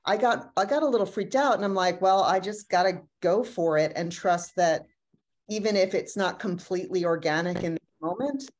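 Female actor, thirties, lowish pitch, thoughtful talkback style, recorded at home over video call. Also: normal speed. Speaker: actor in an audio drama cast. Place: room at home, indoors.

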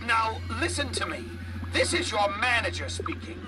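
A man's voice: high voice, sing-song, moderate pace, recorded from a distance, background noise. A man playing an eccentric, fatherly older scientist in a video game, testy and wistful, with theatrical, wordy, old-fashioned phrasing. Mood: firm, reassuring.

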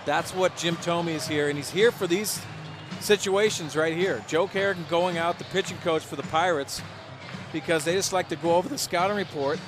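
An American man giving live baseball color commentary on radio, explaining the play in a conversational way. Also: a little high. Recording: loud stadium crowd noise behind. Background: ballpark crowd and outdoor stadium ambience.

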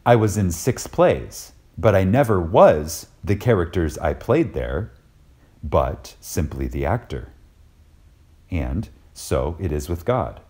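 A man delivers a lecture reading in a deep, mellow voice. His pitch moves widely as he stresses key words. He is reverent and dramatic, leaving deliberate pauses between phrases. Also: very animated. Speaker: reader of a recorded lecture.

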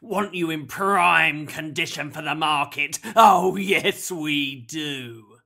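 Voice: medium pitch, slow pace, pushed and bright, full character voice. A narrator voicing a menacing, skinny grey man who sneers and growls as he gloats in a children's story. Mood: bossy, threatening. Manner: sneering, growling, repeats himself in gloating tags.

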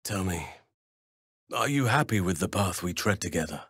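A wise druid from a fantasy video game, gentle yet solemn, with a wild side. His voice is fairly low and recorded close and dry. He phrases things formally and earnestly, in measured sentences. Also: animated, slightly rough, no echo, exaggerated voice. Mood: tender, teasing. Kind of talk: video game character acting.

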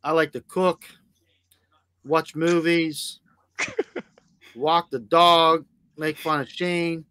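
Slow, higher-voiced man, blunt and teasing. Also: a bit bright, clean tone, no room sound. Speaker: sports podcast panelist. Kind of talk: casual chat.

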